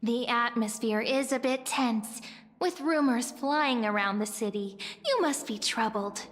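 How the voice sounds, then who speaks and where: An actress playing a curious, shrewd young adventurer in a fantasy video game, speaking in a light, conversational way. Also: female voice, on the high side, measured pace, close to an everyday voice. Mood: politely probing. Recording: long reverb, music underneath.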